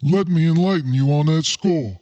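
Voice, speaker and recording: A man's voice: a little high, moderate pace, warm tone, muffled recording, faint music behind, lightly acted. A man playing a scheming crime boss in a noir radio play, formal and genteel with an edge of menace. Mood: smug, confiding. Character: suave scheming crime boss Fat Cat, noir villain.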